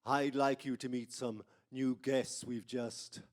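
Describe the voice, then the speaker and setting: A man playing a gleefully macabre horror radio host in an old-time style, with a theatrical, drawn-out, mock-sinister delivery. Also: mid-range voice, little pitch movement, close to an everyday voice.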